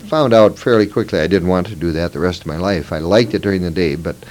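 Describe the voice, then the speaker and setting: Man, fifties, Minnesota-raised, low voice; interviewee telling his life story, rambling, self-correcting. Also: fast, a little mellow. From Moorhead, Minnesota. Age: 50s. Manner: reminiscing, meandering with fillers and self-corrections. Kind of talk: oral history interview.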